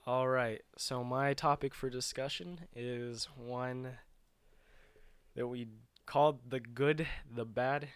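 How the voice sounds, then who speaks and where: A man's voice: mid-range voice, fairly even intonation, slow, smooth, dry recording. A man co-hosting a show, chatting casually about video games in a rambling, loosely explained way. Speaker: video game show co-host.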